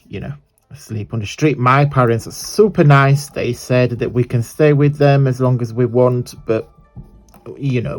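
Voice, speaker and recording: A man from southern Italy with an Italian accent and a croaky voice, hosting a chatty, rambling crafting tutorial. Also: medium pitch, a little mellow. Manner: rambling, chatty, self-correcting.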